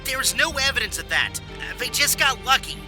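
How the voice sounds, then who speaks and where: A man's voice: very high voice, lively intonation, moderate pace, music in the background. A man playing a stern, insistent authority figure in an audio drama. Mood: firm.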